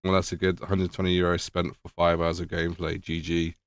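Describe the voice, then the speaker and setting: A man's voice: deep voice, monotone, fairly quick, clean tone. A man reacting to a video in casual commentary, slightly nasal and losing his voice, sarcastic and swearing. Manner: sarcastic, swears, mock-questioning asides.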